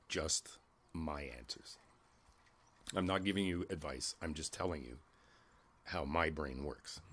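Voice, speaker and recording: Man playing a deep-voiced, reflective older neighbor. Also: full character voice. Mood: candid, reassuring. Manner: reflective, halting, rambling, with gentle chuckles. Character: kindly, world-weary older male neighbor giving comfort. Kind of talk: ASMR roleplay, intimate conversational monologue.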